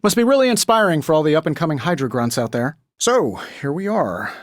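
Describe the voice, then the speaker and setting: Casting: cocky genius superhero for a game, lighter higher pitch, glib sarcasm, very lively inflection. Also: male voice, brisk, dry recording, studio quality. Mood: dry, sardonic. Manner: glib, sarcastic, self-assured quipping. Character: cocky, wisecracking billionaire inventor superhero in powered armor. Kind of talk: video game voice acting.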